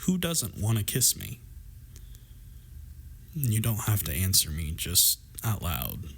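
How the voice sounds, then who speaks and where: Guy doing a warm teasing best friend for a roleplay audio, kinda low voice, wry but really reassuring. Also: wildly swooping pitch, slow, creaky, breathy edge, exaggerated voice. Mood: supportive, reassuring. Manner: playful, affectionate, wry, reassuring. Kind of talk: intimate scripted roleplay audio drama.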